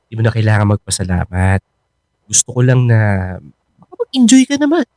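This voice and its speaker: A man's voice: on the low side, huge pitch swings, brisk, dark tone, dry recording, studio quality. An actor playing an earnest, sincere young man in love in a Filipino radio drama. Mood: tender, playful.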